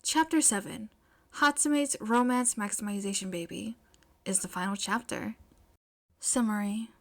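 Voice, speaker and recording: A woman's voice: medium pitch, a little slow. A woman reading scripted fiction aloud as the narrator of an amateur audio story. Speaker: podfic narrator.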